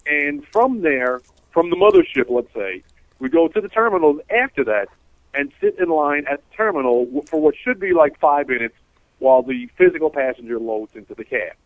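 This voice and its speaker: A man, talking as a cab-driving co-host on a call-in show. His voice sits a little high and swings up and down as he rambles through his complaints. It comes over a tinny, dry phone line. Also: moderate pace, no room sound. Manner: ranting, animated, rambling complaints. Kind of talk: casual radio talk show chat.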